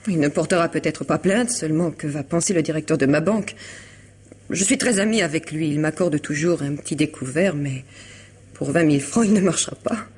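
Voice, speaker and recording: A woman's voice: low-pitched, fairly quick, a little mellow, slightly rough. An actress playing an elegant, troubled woman confiding in a lawyer friend in a vintage French radio drama, hesitant yet articulate. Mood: agitated, defensive. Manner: hesitant, restarting, articulate and formal.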